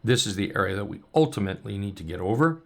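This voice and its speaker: Hoarse gravelly man walking through charts. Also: on the low side, expressive, quick pace. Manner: upbeat, loose, many ums and restarts. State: losing his voice. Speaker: trading coach. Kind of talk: casual chart walkthrough.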